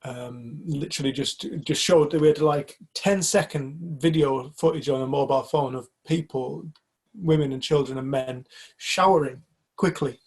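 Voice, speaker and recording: Husky, gravelly-voiced man from the UK. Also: medium pitch, normal speed, a little mellow. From United Kingdom. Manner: reflective, hesitant with repeated restarts. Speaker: community group member. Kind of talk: casual group discussion.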